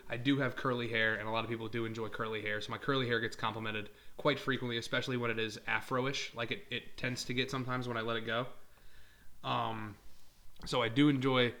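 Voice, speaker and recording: A young man chatting on a podcast in a quick, rambling way. His voice is bright and forward, and he keeps joking at his own expense. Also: medium pitch. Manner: joking, rambling, laughs at himself. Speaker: podcast co-host. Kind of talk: casual chat.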